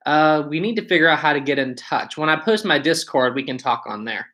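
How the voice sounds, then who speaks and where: A man's voice: on the high side, animated, fairly quick, sharp tone. A man with a West Virginia accent hosting a casual livestream, chatting informally and answering viewer comments. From West Virginia, United States. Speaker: livestream host.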